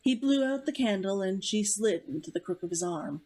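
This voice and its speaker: A woman author reading her own novel aloud as measured, dramatic story narration. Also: mid-range voice, animated, a little mellow.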